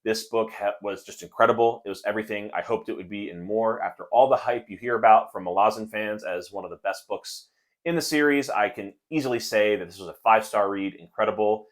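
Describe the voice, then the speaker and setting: A man's voice: lowish voice, fairly quick. A man hosting a casual book chat for viewers, speaking in an enthusiastic, positive way. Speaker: book reviewer and video host.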